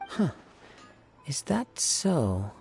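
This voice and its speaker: A man playing a calm, modest young wandering swordsman in a video game, polite and thoughtful, with a breathy voice. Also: fairly high pitch, drawn-out words, soft background music, exaggerated voice. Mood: reassuring, thoughtful.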